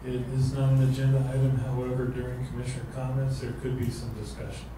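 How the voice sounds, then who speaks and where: A man's voice: medium pitch, little pitch movement, fast talker, noisy background. A man chairing a county meeting, calling speakers in a brief, procedural way. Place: county commission meeting room. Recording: distant mic in a loud echoey hall with crowd.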